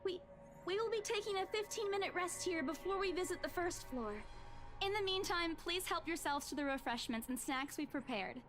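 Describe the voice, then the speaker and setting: A young female estate agent with a high, polite voice, as a man puts her on. She stammers and trips over her words whenever she is pressed. Faint music sits under this visual novel reading. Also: animated, normal speed.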